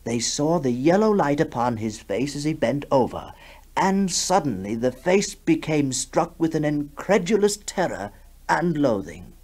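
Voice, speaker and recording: A man's voice: on the high side, sing-song, a little slow, a little mellow. A man narrating a ghost story in a formal, theatrical manner. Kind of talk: dramatic reading of a ghost story.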